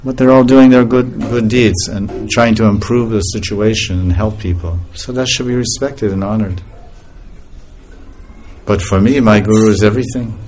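A man's voice: on the low side, moderate pace, soft background music, rough recording. Laughing man giving a spiritual talk. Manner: laughing often, animated storytelling. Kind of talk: informal spiritual lecture.

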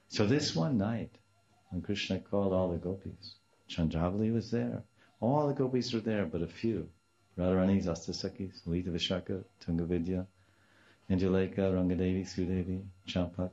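Older guy, deep voice, informal devotional teaching w/ sanskrit words, kinda dull muffled recording. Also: darkish tone. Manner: storytelling with repeated phrases and Sanskrit terms.

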